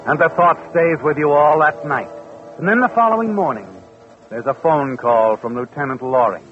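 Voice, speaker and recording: A man's voice: a little high, animated, close to an everyday voice. Man acting an ominous, taunting radio mystery narrator. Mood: ominous, knowing. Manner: knowing, taunting, deliberate. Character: ominous omniscient male narrator addressing the culprit. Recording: dull vintage radio recording. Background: music.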